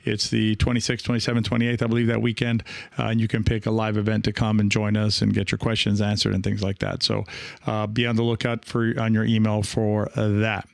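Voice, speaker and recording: A man's voice: fairly low pitch, monotone, brisk, gravelly, no room sound, clean studio sound. A man hosting a coaching podcast in a casual monologue, speaking in a hesitant, rambling way as he thinks aloud. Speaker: podcast host and pickleball coach.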